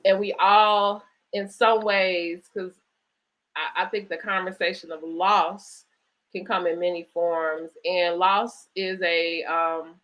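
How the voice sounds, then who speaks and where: A woman hosting a conversational interview, speaking reflectively in halting phrases and often checking in with "right?" or "you know". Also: fairly low pitch, little pitch movement, lo-fi sound. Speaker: host interviewing an author. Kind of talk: conversational interview at a virtual book event.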